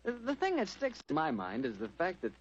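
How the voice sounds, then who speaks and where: A male radio announcer in scripted 1940s-style chat, with a breezy, folksy pitchman's manner. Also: huge pitch swings, a little fast, a little hiss or hum. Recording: muffled old radio recording.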